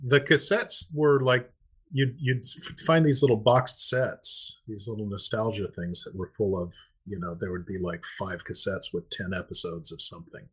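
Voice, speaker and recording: A man's voice: fairly low pitch, normal speed, pushed and bright, slightly rough, low-quality recording. A middle-aged man co-hosting a podcast, chatting casually and prompting with questions. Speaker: podcast co-host.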